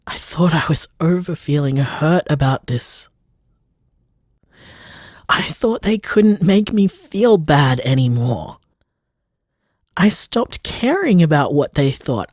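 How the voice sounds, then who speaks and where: Man acting as a slow, halting, wounded man. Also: high voice, no echo, studio quality, exaggerated voice. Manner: halting, repeating himself, emotional. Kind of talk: audio drama voicemail monologue.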